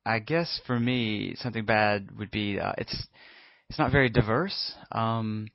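A man's voice: fairly low pitch, a little slow, creaky, no echo. A man giving a thoughtful, somewhat hesitant casual answer. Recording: dry, slightly muffled recording.

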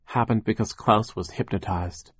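A man's voice: on the low side, normal speed, breathy edge, no room sound. A man voicing an earnest, desperate young orphan girl in an audiobook story, urgent and insistent. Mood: hesitant, serious.

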